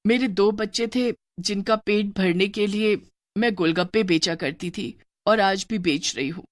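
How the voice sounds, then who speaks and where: A woman's voice: mid-range voice, no room sound, clean studio sound, close to an everyday voice. A woman voicing a sorrowful long-armed female witch ghost who sells street snacks, in a plaintive tone.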